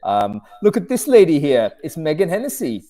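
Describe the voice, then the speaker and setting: A man's voice: on the high side, wildly swooping pitch, moderate pace, warm tone. A man hosting a casual video-call chat, talking in a rambling, self-deprecating way. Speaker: host.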